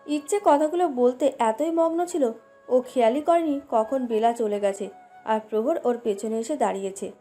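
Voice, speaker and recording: A woman's voice: a little high, moderate pace, mellow. A woman reading a scripted romantic story as its narrator. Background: background music.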